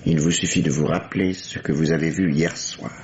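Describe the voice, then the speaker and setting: Deep-voiced, probing police commissioner. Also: male voice, steady tone, a little mellow. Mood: coaxing, patient. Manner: coaxing, deliberate questioning. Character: male police commissioner, patient, probing, authoritative. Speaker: radio actor. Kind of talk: old French radio mystery drama acting. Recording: muffled, noisy old radio recording.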